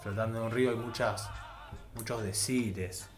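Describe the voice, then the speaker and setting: A man's voice: lowish voice, fairly even intonation, moderate pace. A man with an Argentine accent hosting a conversational community radio talk. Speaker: radio host. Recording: music playing behind the voice. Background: music.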